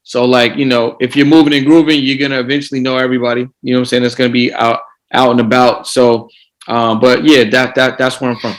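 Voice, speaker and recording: A man's voice: mid-range voice, level tone, fast, poor audio. Man coaching, repeats himself. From Boston. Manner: repeats words while gathering thoughts. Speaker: guest coach. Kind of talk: casual podcast interview.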